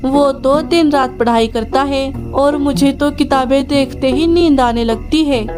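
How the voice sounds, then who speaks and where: A woman's voice: high-pitched, unhurried, music in the background. A woman voicing a lazy, sulky young son bird in a children's cartoon, in a whiny, childish way.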